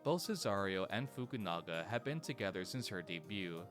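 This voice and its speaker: Deep-voiced man narrating a documentary. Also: a little fast. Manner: steady storytelling delivery. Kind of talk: scripted narration. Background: soft music.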